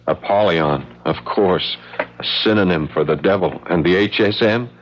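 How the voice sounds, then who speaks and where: An actor playing a harried, protective husband facing danger, earnest and hesitant, in a dramatic 1970s radio acting style. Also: male voice, deep voice, normal speed. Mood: defiant. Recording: dull lo-fi vintage radio broadcast.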